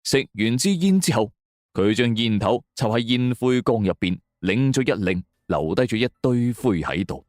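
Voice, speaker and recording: A man's voice: medium pitch, lively intonation, moderate pace, darkish tone, no echo, studio quality. A man with a Cantonese accent narrating a suspense story in the first person, in a dramatic scripted style. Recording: music bed under the voice.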